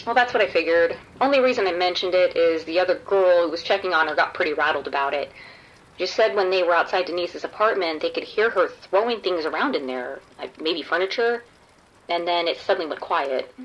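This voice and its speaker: A woman's voice: on the low side, lively intonation, a little fast, highs cut off. A woman playing a wry undercover policewoman in a radio drama, talking casually and matter-of-factly. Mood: wry, gossipy. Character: wry undercover policewoman posing as a street sex worker.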